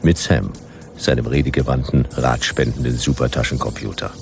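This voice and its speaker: An actor playing a portentous male narrator in a scripted German radio drama, speaking in a measured way. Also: very deep voice, fairly even intonation, creaky, over music. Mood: dramatic, wry. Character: portentous male narrator of a sci-fi detective serial.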